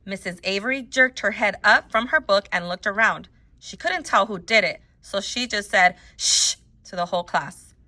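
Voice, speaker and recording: A woman reading a children's book aloud as a teacher, in an expressive storytelling style with deliberate emphasis. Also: mid-range voice.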